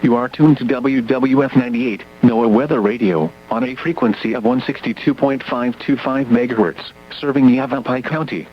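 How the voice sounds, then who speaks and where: A man's voice: mid-range voice, normal speed, few pauses, soft background music. Muffled male weather radio announcer. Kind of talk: scripted station identification announcement.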